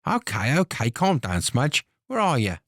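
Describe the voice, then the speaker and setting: A man's voice: medium pitch, wildly swooping pitch, normal speed, fairly bright tone, no room sound, studio quality, pitched above the performer's own voice, heavily acted. A man voicing an easygoing, cheeky young man in an audiobook.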